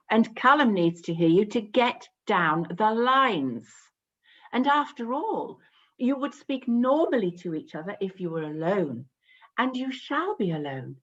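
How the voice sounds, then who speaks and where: A woman playing a brisk but patient theatre director in a naturalistic play, explaining and coaxing. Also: medium pitch, husky. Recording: dull muffled video-call audio.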